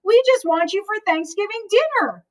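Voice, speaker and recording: Woman reading as a polite, high-voiced moose husband. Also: lively intonation, moderate pace, recorded from a distance. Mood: courteous, insistent. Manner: courteous but insistent. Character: polite, determined male moose husband, storybook animal.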